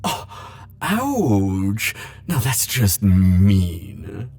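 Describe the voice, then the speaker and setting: Man playing low, slow, flirty immortal charmer. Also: huge pitch swings, darkish tone, heavily acted. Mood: flirtatious, teasing. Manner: coy, theatrical, drawn-out teasing phrasing. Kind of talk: ASMR roleplay audio acting.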